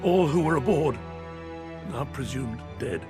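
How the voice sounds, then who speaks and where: An actor playing a formal, grave high judge in a fantasy game, narrating an official letter. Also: male voice, lively intonation, unhurried, music in the background, close to an everyday voice.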